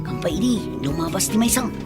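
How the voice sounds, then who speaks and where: A woman's voice: on the low side, animated, brisk, loud music behind the voice, natural voice. A woman playing an eager, obedient gang henchman who schemes in a comedy radio drama. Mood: scheming.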